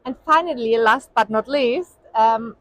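Higher-voiced woman asking polite questions. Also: unhurried, no room sound. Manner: polite, hesitant with fillers. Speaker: interviewer. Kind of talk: interview questions.

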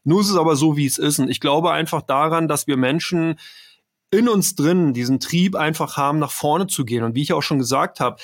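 A middle-aged man from Berlin talks in a somewhat high voice as the guest of an interview. He explains at length, rambling and circling back with fillers. The sound is dry and close. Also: a little fast, dry recording. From Berlin, Germany. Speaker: trading expert guest. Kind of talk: conversational interview.

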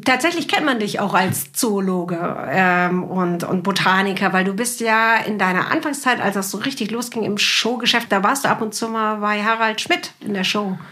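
A woman's voice: medium pitch, moderate pace, hardly any pauses, pushed and bright. A woman hosting a casual interview, chatty and colloquial, with a playful teasing manner. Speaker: podcast host.

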